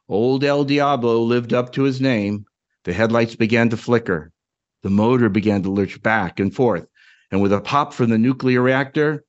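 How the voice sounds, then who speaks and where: A man's voice: on the low side, dull audio. A man, a podcast host, speaking as a storyteller who reads and talks about his own work. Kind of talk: dramatic story narration and casual chat.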